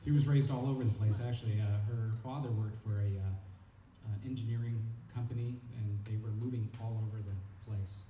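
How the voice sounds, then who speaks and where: A man's voice: on the low side, fairly even intonation, fairly quick, hardly any pauses, mellow, recorded from a distance. Hesitant man, echoey noisy room. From California, United States. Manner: hesitant, searching for words. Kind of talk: informal reminiscing, answering questions.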